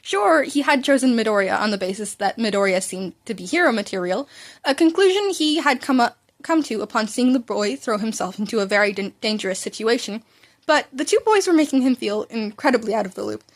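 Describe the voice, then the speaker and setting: A woman's voice: on the high side, lively intonation, brisk. A woman reading fan fiction aloud in a casual, informal way, sometimes stumbling over her words. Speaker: amateur podfic narrator.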